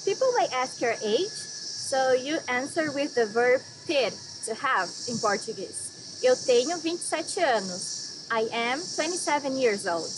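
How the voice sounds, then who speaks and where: A woman's voice: high-pitched, expressive, takes it slowly. A young woman from Brazil teaching phrases outdoors, explaining and modeling each answer. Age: 20s. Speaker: language teacher. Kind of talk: scripted phrase lesson. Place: outdoors among greenery. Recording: outdoor insect noise.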